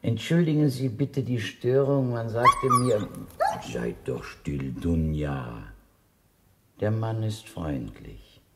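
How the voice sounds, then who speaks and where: A man's voice: fairly low pitch, expressive, slow pace, fairly bright tone, a little hiss or hum, full character voice. An actor playing a polite, persistent blind man with a guide dog in a radio play, courteous and hesitantly apologetic. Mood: hushing his dog. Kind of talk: German radio play acting. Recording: reverberant stairwell acoustic.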